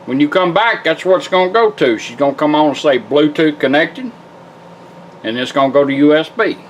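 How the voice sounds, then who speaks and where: A man's voice: on the high side, animated, brisk, slight background noise, poor audio. A man giving an informal, plain-spoken, hands-on product demonstration. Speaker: product demonstrator, car radio builder. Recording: loud music behind voice. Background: car radio playing music.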